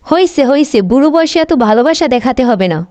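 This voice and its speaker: A woman's voice: sits high, expressive, fast talker, darkish tone, dry recording. A woman voicing a bossy, melodramatic elderly grandmother in a comic cartoon, doting on her brother and nagging her husband. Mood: scolding. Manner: scolding, melodramatic, gushing over her brother. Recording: noisy amateur cartoon dub with clicks.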